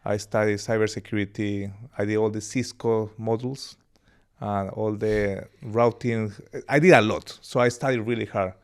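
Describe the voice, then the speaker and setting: A man in his thirties from Colombia with a Colombian accent and Spanish as his first language, telling his life story as a podcast guest in a relaxed, rambling way. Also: on the low side, normal speed, smooth. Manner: relaxed, laughs easily, rambling anecdotes. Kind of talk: casual interview storytelling.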